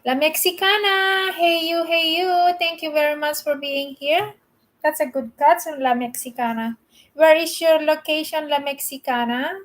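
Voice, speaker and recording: A woman with a high, light voice hosts a casual live stream. She talks in a chatty, easygoing way, greeting each viewer by name. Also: steady tone, moderate pace. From Philippines.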